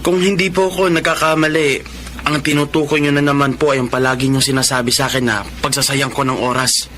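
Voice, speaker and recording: A man's voice: mid-range voice, little pitch movement, fast, a bit bright, a little hiss or hum, close to an everyday voice. A man acting an earnest young adult son with a Filipino accent and emphatic, emotional delivery in a radio drama. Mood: resentful, pleading.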